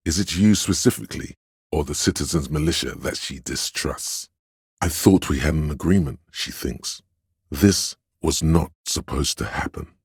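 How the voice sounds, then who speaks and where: A very deep, imperious authority voice. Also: male voice, husky, no room sound, clean studio sound, exaggerated voice. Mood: watchful, solemn. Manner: grave, imperious, measured. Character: stern, proud inner voice of authority, commanding and domineering. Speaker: video game voice actor. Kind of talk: dramatic game narration in second person.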